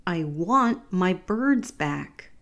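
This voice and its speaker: A woman voicing an excitable, stubborn young boy capybara in a storybook, whiny and emphatic. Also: lowish voice, drawn-out words, full character voice. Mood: upset, whiny.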